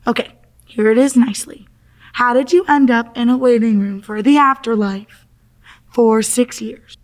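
A woman's voice: on the high side, fairly even intonation, moderate pace, close to an everyday voice. A woman playing a confused, exasperated accident victim in an audio drama, with sarcastic, dramatic, slangy delivery. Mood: apologetic, flustered. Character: confused, exasperated accident victim stuck in afterlife waiting room.

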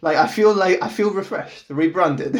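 A man's voice: high-pitched, lively intonation, takes it slowly. A young man from Portugal talks in an easygoing, chatty way. He laughs often and repeats his first words before he gets going. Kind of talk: casual chat.

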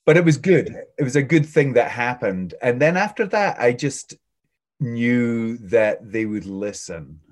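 A man who teaches preschool, talking in a relaxed, thoughtful and rambling way in an interview, with a booming voice. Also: measured pace. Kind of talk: casual interview chat.

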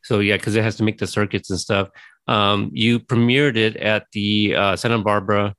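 Low-voiced man interviewer, rambling. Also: fairly even intonation, moderate pace, a bit bright, no echo. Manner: rambling, filler-heavy, admiring. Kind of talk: casual interview questions.

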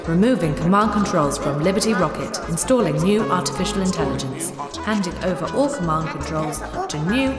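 A woman's voice: on the low side, animated, fairly quick, close to an everyday voice. A woman playing a playful, menacing artificial intelligence in a science fiction audio drama, with childlike, theatrical taunting. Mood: smug, gleeful. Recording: echoey, long reverb, music behind.

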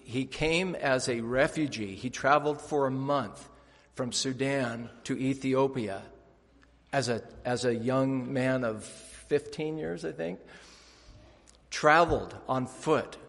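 A man hosting at church, introducing a guest speaker with lively storytelling. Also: medium pitch, slow, vocal fry, long reverb tail. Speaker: church host.

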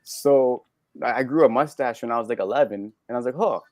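A man with a Canadian accent, a podcast guest telling personal stories candidly, halting and correcting himself in an animated way. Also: mid-range voice, no room sound, studio quality. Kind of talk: candid personal storytelling in conversation.

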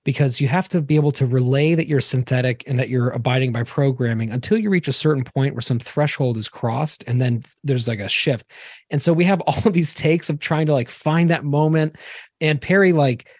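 A man with a medium-pitched voice hosts a casual roundtable. His recording is very dry. He is effusive and informal, talking in anecdotes as he addresses his colleagues. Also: fairly quick, darkish tone, no room sound. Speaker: podcast host and short film co-director.

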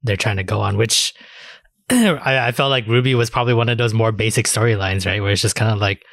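Low-voiced man in casual chat. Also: a little fast, fairly bright tone, dry recording, studio quality. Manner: colloquial, loosely phrased. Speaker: podcast co-host.

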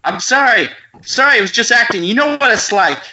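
A man's voice: very high voice, expressive, brisk, pushed and bright, exaggerated voice. A man reading the part of a hesitant, awkward film actor in an amateur table read over an internet call. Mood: apologetic. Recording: muffled, noisy internet call audio.

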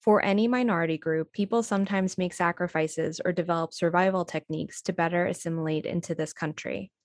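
A woman narrates from a script in documentary style. Her voice sits a little low, and the recording is very dry with no room sound. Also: fairly even intonation, a little fast, no room sound.

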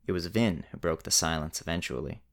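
A man narrating a scripted story in a measured way with dramatic pauses. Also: sits low, smooth.

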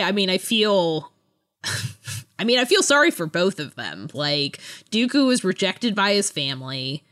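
Woman with a very lively, lowish voice. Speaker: podcast host. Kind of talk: casual book discussion.

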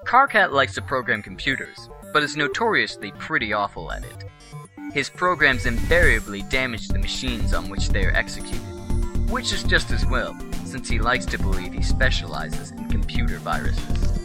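A man's voice: mid-range voice, sing-song, normal speed, natural voice. A man playing a wry, formal narrator in a radio play, telling the story with mock-serious drama. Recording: loud music behind the voice.

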